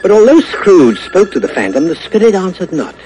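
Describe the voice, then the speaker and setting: A man's voice: sits high, very animated, a little fast, mellow, slight background noise, low-quality recording. A man narrating a 1950s radio drama in a dramatic scripted storytelling style.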